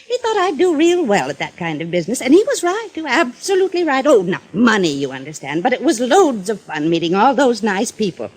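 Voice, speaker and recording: An actress playing a sweet, dotty, rambling older widow in a 1950s radio drama. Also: female voice, on the high side, big pitch swings, brisk, hardly any pauses, darkish tone, husky, poor audio. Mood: fluttery, chatty. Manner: chatty, fluttery, rambling. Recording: vintage radio recording.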